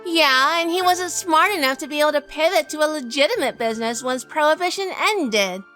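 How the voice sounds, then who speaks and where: A woman's voice: high-pitched, animated, fairly quick, sharp tone, soft background music. A woman playing a sassy, composed businesswoman in a noir audio drama, wry and unflappable. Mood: cool, challenging.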